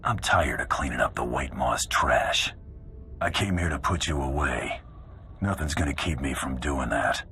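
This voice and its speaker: A man's voice: rumbling low, unhurried, pushed and bright, a little hiss or hum, exaggerated voice. A man playing a tough, wry bounty hunter in a video game, with dry, terse delivery. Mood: stern. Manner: dry, terse, hard-boiled. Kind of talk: video game cutscene acting.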